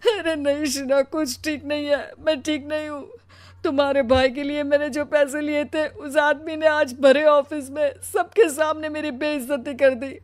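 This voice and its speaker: A put-upon young Indian husband in a cartoon, acted by a woman. The voice is high for the part and closely recorded with a dry sound. He talks in a tired, aggrieved, pleading way. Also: level tone, normal speed, no room sound, natural voice. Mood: anguished. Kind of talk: Hindi cartoon story dialogue.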